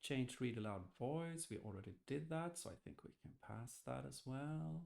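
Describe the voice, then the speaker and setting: A man thinking aloud hesitantly as he gives a casual software testing walkthrough. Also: medium pitch, animated, normal speed, creaky. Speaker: software tester demonstrating. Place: indoors, screen-recorded voice-over.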